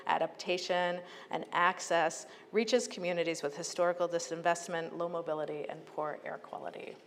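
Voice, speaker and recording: An American woman giving an earnest, persuasive prepared speech as a public agency leader, with emphatic delivery. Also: on the low side. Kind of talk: prepared advocacy speech to a conference. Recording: echoey hall, clear background noise.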